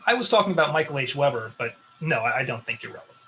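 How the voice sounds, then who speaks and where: A man as a bright-voiced, exasperated staffer. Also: mid-range voice, lively intonation, rapid-fire, slight background noise, low-quality recording. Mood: dry. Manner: dry, sarcastic. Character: exasperated, sensible male talk show staffer. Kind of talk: comedy script table read.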